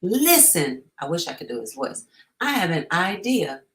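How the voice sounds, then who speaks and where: A woman's voice: fairly low pitch, sing-song, moderate pace, a bit bright. A woman hosting a storytime, reading aloud and chatting informally and encouragingly to families. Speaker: storytime host.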